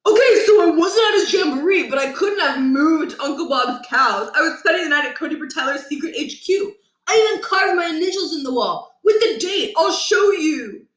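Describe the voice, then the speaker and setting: A high, indignant schoolboy, played by a woman reading a storybook aloud, pleading his case and protesting defensively. The recording has a clearly roomy echo. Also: expressive, normal speed, fairly bright tone. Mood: defensive, insistent. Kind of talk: children's storybook character voice. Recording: echoey, roomy home recording.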